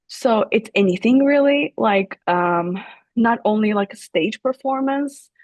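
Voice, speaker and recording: A woman's voice: fairly high pitch, animated, measured pace, a little mellow, dry recording. A woman professor whose first language is Turkish, answering interview questions thoughtfully with many fillers and restarts. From Turkey. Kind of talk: academic interview.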